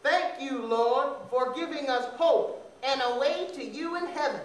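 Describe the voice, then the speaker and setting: A cheery, high-voiced puppet, acted by a woman, talks straight to the kids in a children's church show. The delivery is slow and exclamatory. It is picked up from a distance in a roomy, noisy space. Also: a bit bright, heavily acted. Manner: exclamatory, addresses children directly. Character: cheery puppet character teaching children about faith. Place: room at a live puppet show. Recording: roomy, distant mic with background noise.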